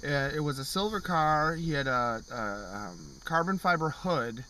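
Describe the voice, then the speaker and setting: Bright-voiced man rambling from his car. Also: fairly high pitch, lively intonation, unhurried. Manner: rambling, many fillers and restarts. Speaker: car show vlogger. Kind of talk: casual vlog review. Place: parked car, in the driver's seat. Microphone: camera on the dashboard.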